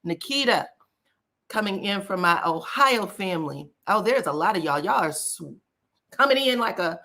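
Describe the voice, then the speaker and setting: A woman's voice: medium pitch, animated, normal speed, pushed and bright. A woman with a scratchy voice hosts a live stream and chats straight to her viewers. She talks in a loose, folksy way, greeting people as she goes. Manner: chatty, folksy, addresses viewers directly. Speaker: celebrity gossip YouTuber. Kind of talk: casual live-stream gossip chat.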